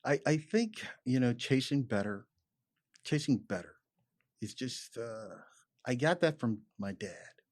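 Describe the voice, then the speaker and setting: Animated man in his late fifties. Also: mid-range voice, a little slow. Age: 50s. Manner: animated, chuckling, lots of "you know". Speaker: chiropractor guest. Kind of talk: casual podcast conversation.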